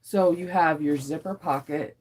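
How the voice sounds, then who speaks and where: A woman hosting a casual sewing live stream, her voice raspy because she is losing it to a sinus illness, chatting in a rambling, laughing way. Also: low-pitched, measured pace, a little mellow, rough recording. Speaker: sewing channel host.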